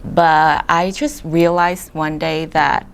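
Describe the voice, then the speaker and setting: Low-voiced young Vietnamese woman co-host. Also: slow, creaky, smooth. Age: young adult. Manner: relaxed, hesitant with fillers and laughs. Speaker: podcast co-host. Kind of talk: casual chat.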